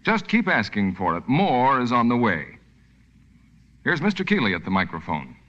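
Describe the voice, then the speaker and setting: A man with an American accent delivers scripted 1940s radio commercials. His medium-pitched voice is bright and forceful with lively swings. The lo-fi recording carries a long reverb. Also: normal speed. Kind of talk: 1940s scripted advertising announcement.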